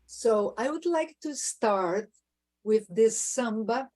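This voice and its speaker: Slow-speaking Brazilian woman singer. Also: a little high, lively intonation. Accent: Brazilian Portuguese. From southern Brazil. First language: Portuguese. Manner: warm, effusive, slightly broken English. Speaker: guest singer. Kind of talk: casual chat between songs.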